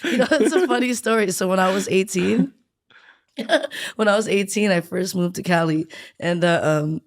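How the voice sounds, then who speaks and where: A woman in her late thirties, from the Philippines and raised in Hawaii, chatting as a guest in a relaxed, slangy way and laughing often. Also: mid-range voice, normal speed, no room sound, clean studio sound. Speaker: guest musician. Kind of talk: casual interview chat.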